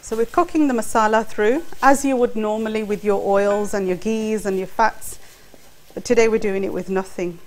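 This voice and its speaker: A woman's voice: medium pitch, normal speed. A middle-aged woman hosts a cooking show, explaining each step as she cooks in a studio kitchen on a lapel mic. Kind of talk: cooking demonstration. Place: studio kitchen set, indoors. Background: faint clatter of pots and utensils.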